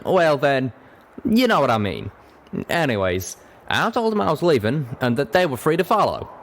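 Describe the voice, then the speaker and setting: A man's voice: a little high, very animated, normal speed, pitched above the performer's own voice. A man voicing an earnest, folksy pegasus cowboy pony in a storybook reading. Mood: wry, earnest. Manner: drawling, folksy.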